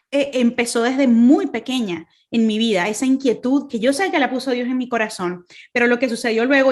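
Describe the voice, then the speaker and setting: Venezuelan lady on a video call, earnest talk, lots of ums and restarts, a bit high pitched. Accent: Venezuelan Spanish, Caracas. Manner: earnest, many fillers and restarts. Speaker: guest speaker. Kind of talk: online faith talk.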